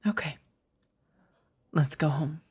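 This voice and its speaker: A woman playing a remorseful, tender vampire in an ASMR roleplay, speaking softly and haltingly, often slipping into a whisper. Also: low-pitched, slightly rough, full character voice. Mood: tender, reassuring. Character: remorseful, tender female vampire rescuing her beloved.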